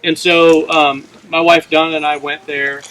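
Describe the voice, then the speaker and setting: A middle-aged man telling an informal comic story to a group, acting out the dialogue in an animated way. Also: on the high side, normal speed. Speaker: campfire storyteller. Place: outdoors among a gathered crowd. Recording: noisy outdoor recording with audience chatter. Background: audience sounds, outdoor ambience.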